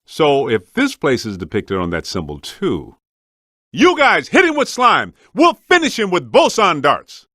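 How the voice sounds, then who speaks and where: An actor playing a streetwise, wisecracking ghost hunter in a video game, practical and exasperated, with casual, slangy, punchy delivery. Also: male voice, fairly high pitch, wildly swooping pitch, a little slow, sharp tone, no room sound, studio quality, full character voice. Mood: matter-of-fact.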